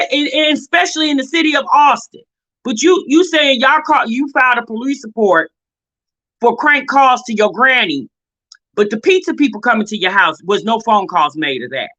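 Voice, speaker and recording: Bright, high-voiced sassy American woman commentator. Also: poor audio. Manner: blunt, sassy, exasperated, uses rhetorical questions. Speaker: reaction video host. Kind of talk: casual opinionated commentary.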